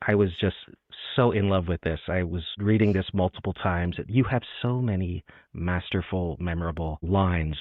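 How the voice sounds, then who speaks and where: Male poetry host, very low voice, thin phone-like audio. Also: normal speed, few pauses. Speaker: interviewer and host. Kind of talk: literary chat and poetry reading. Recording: echoey, band-limited phone-like sound.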